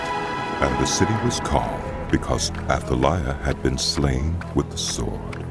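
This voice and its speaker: A man narrating a dramatized audio Bible in a solemn, measured storytelling style. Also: very deep voice. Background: background music.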